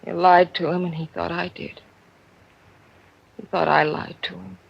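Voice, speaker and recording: A woman's voice: little pitch movement, unhurried, creaky, slightly rough, heavily acted. An actress playing a frantic, spiteful gangster's woman in an old-time radio crime drama. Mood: bitter. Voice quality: shrill. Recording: dull old radio recording.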